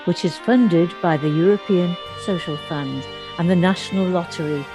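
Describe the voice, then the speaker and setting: A woman presenter reading scripted credits in a measured announcing manner. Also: on the low side, music in the background.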